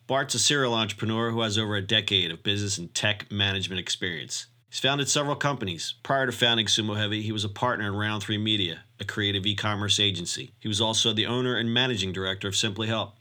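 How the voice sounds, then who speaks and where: A man hosting a podcast, introducing his guest and asking questions in an easygoing, measured way. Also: fairly low pitch, bright, forceful tone. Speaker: podcast host. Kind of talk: podcast interview.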